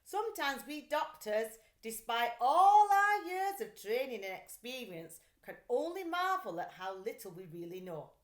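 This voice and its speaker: Woman doing a kindly storybook doctor man, deliberate n reassuring, lively pitch, bit of an echoey room. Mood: reassuring, musing. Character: kindly male family doctor, musing and reassuring.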